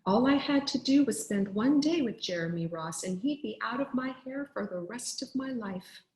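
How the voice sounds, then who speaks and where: A woman reading a children's story aloud expressively, like a teacher, with deliberate pauses for effect. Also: a little high, lively intonation, darkish tone. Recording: roomy, echoing sound.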